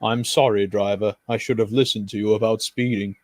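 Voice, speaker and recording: A man's voice: fairly low pitch, fairly even intonation, fairly quick, voice pushed down, lightly acted. A man voicing a big, proud male steam engine who grumbles and sulks, for a storybook reading. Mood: apologetic. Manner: grumbling, sulky.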